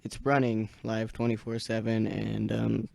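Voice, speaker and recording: A young man hosting an amateur radio net in casual, halting chat, searching for words as he goes. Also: fairly low pitch, completely flat delivery, gravelly, darkish tone, no echo. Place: small indoor radio room.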